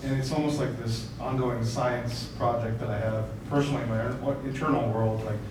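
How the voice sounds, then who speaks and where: A man's voice: mid-range voice, fairly even intonation, brisk. A man in a seminar audience informally sharing a personal experience, searching for his words as he goes. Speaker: seminar participant. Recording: very echoey, noisy room, distant mic.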